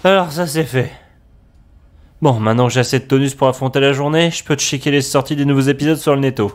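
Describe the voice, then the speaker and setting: A man's voice: medium pitch, quick pace. A middle-aged man acting a grumpy, sarcastic forum administrator in a comic audio drama. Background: background music.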